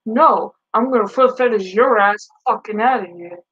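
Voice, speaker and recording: A woman's voice: fairly high pitch, moderate pace, fairly bright tone, slightly rough, dry recording. A woman in her twenties with a Boston accent, trash-talking her chat on a live stream from a small bedroom through a headset mic. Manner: brash, profane trash talk. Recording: dull lo-fi stream audio.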